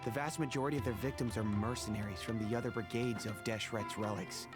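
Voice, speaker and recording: An actor playing a steady male mercenary crew leader in a game, giving a measured, serious briefing over game music. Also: lowish voice, close to an everyday voice. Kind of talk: game voice acting. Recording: game audio with music behind.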